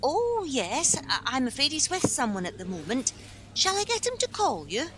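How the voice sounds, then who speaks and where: A woman's voice: sits high, wildly swooping pitch, moderate pace, background noise. A woman playing a flustered, well-meaning helpline office worker in a naturalistic radio play, speaking hesitantly. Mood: polite.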